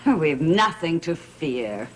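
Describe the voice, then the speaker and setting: Haughty, melodramatic soap villainess. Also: female voice, low-pitched, very animated, slow, exaggerated voice. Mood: wounded, defiant. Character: proud, scheming small-town woman with lost occult powers. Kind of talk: gothic soap opera acting. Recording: old TV soundtrack, loud music behind.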